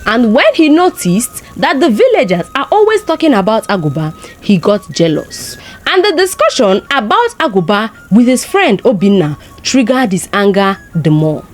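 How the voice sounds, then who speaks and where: A Nigerian-accented woman narrates a folktale as a storyteller. Her voice sits fairly high and swings very widely in pitch, with expressive delivery throughout. Music plays faintly behind her. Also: moderate pace.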